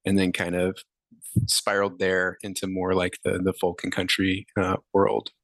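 A man in his thirties, a musician guest, answering interview questions in a relaxed, rambling way with many fillers. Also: deep voice, moderate pace, vocal fry, dry recording, rough recording.

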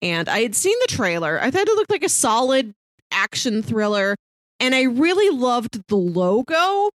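A woman's voice: on the high side, sing-song, moderate pace, no room sound, clean studio sound. A woman co-hosting a casual review podcast in a wry, playfully wordy way. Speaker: podcast co-host.

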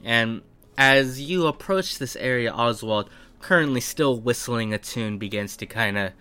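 A man narrating a tabletop game as game master, improvising descriptions in measured, halting phrases. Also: mid-range voice, bright, forceful tone, clean tone. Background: faint background music.

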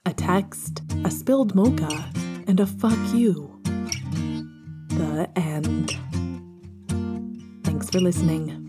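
A woman narrating fan fiction as an expressive storyteller, reading scripted prose and dialogue. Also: lowish voice, slow pace, pauses often, over loud music. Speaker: podfic narrator.

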